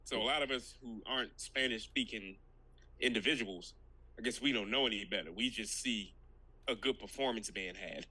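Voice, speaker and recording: Bright, hesitant man leading podcast chat. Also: fairly low pitch, normal speed, husky, poor audio. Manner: laughs easily, hesitant with many ums.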